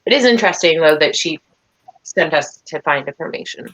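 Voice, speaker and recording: A woman with a low voice talks as a player in a tabletop game. She is casual, measured and thoughtful. Her audio is a little dull. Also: animated, fairly bright tone. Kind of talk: casual in-character discussion.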